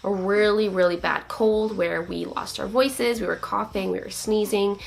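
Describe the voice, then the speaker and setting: A young woman, a new mother, talking casually to camera, her voice a little raspy from a recent cold, chatty and open. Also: medium pitch, normal speed, a little hiss or hum. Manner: open, chatty, relieved, many likes and so's. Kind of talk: casual vlog chat.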